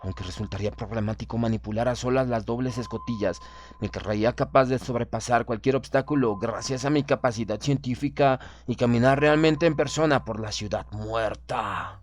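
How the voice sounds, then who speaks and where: A man's voice: medium pitch, moderate pace. Man narrating a solemn literary audiobook. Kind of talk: dramatic literary audiobook narration in first person.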